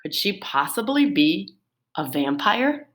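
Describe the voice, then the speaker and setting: A woman teacher reading book summaries aloud to her students in an expressive storytelling manner. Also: slow. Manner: lively, expressive read-aloud with swooping pitch.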